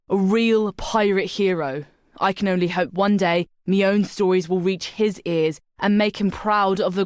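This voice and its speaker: A woman's voice: mid-range voice, dry recording, studio quality, close to an everyday voice. An actress playing a brash, bawdy young female pirate in a video game, talking in swaggering, salty old-time sailor slang. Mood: proud storytelling. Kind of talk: video game character dialogue.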